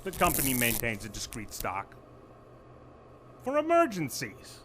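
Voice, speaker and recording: Bright-voiced, exasperated executive. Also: male voice, medium pitch, wildly swooping pitch, measured pace, slight background noise. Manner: sarcastic, urgent, commanding. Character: exasperated, sardonic male company executive under pressure. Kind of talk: audio drama acting.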